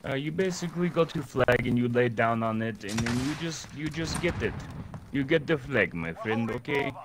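A man's voice: medium pitch, animated, moderate pace, natural voice. A man putting on a fake Russian accent as a boastful gamer who talks about himself in the third person, in broken English, over in-game voice chat. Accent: put-on Russian. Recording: game noise and gunfire behind.

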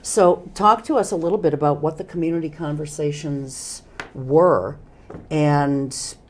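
An older woman hosting a local TV talk show, slightly hoarse, asking follow-up questions in a studio on a lapel mic. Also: low voice, fairly even intonation, a little slow, darkish tone. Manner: affirming, asks follow-up questions. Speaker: host. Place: TV studio, indoors.

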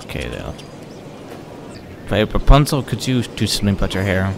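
Lowish-voiced young man, wry. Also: big pitch swings, moderate pace, gravelly, background noise. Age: young adult. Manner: wry, sarcastic. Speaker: let's play host. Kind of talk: casual gameplay commentary.

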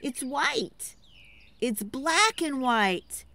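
A woman's voice: high-pitched, sing-song, extremely slow, vocal fry, pushed and bright. A woman storyteller giving a dramatic, rhythmic picture-book read-aloud for children, theatrical and urging listeners to join in.

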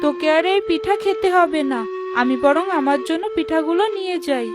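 A woman playing a cruel, scheming stepmother in a children's cartoon, with a sharp, domineering manner. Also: very high-pitched, a little fast, music in the background. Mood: smug, spiteful.

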